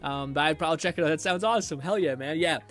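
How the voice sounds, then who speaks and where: Guy streamer talking fast n rambly, lots of ums and likes, quiet music behind. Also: a little high. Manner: rambling with many fillers, reads chat aloud. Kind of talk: casual live-stream chat.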